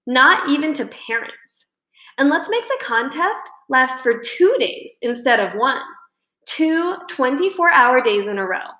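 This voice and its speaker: A woman reading a children's storybook, voicing a proud, stubborn schoolgirl who talks in a sharp, scornful, bossy way. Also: sits high, very animated, unhurried, voice pushed up, full character voice. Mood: bossy, challenging. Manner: sharp, challenging. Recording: roomy indoor echo.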